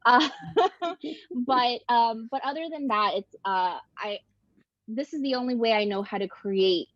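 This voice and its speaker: A woman's voice: fairly high pitch, measured pace, dry recording, lo-fi sound. A woman from Ecuador speaking as an author on a panel, chatty, with many fillers and restarts. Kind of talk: casual panel discussion.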